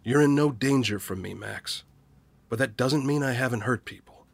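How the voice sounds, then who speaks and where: An actor playing a weary, guilt-ridden small-town loner in a naturalistic audio drama, husky and hesitant, confessing haltingly. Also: male voice, mid-range voice, no room sound. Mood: subdued, regretful.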